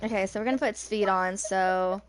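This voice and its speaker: A woman's voice: medium pitch, steady tone, moderate pace, soft background music. A woman in her twenties giving casual gameplay commentary as she streams. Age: 20s. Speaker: gaming streamer.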